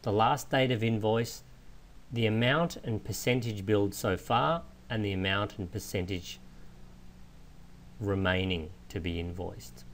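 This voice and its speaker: Low-pitched man narrating a software walkthrough. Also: unhurried. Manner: methodical, explanatory. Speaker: software trainer. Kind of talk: instructional screen walkthrough narration. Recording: clear steady background noise.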